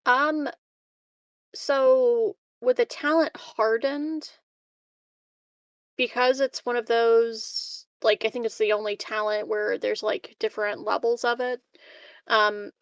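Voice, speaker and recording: A woman's voice: fairly high pitch, fairly even intonation, slow, clear, resonant tone, no room sound. A woman chatting casually as a player in a tabletop roleplaying game. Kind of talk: casual chat.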